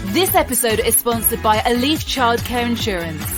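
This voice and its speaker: A woman reads a polished, promotional show intro in a high, lively voice. Music plays clearly behind her. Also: normal speed. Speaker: show announcer. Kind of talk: scripted intro and ad read. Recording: announcer over clear music.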